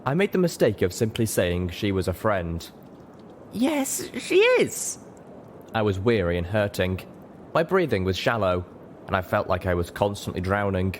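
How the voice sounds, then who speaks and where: A man's voice: low voice, animated, normal speed, a little hiss or hum. A man reading a story aloud as scripted dramatic narration. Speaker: audiobook narrator.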